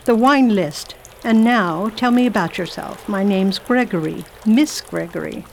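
A woman playing a self-assured, kindly lady traveller in radio theatre, speaking in a formal, deliberate and imperious way. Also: mid-range voice, vocal fry, a little mellow, slight background noise. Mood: brisk, kindly. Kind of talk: radio theatre acting and diary narration.

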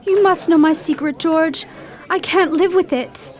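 A woman's voice: very high voice, unhurried. A woman playing an anguished young bride-to-be in a melodramatic radio play, burdened by a shameful secret. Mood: resolute, sorrowful. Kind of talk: melodramatic radio drama acting.

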